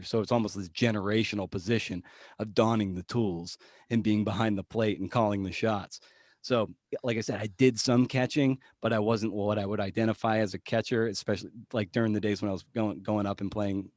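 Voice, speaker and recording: A man's voice: fairly low pitch, a little mellow, dry recording, studio quality. A man talking casually as an interview guest on a podcast, thinking aloud and questioning himself as he goes. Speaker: podcast guest, journalist and memoir writer. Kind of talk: casual conversational interview answers.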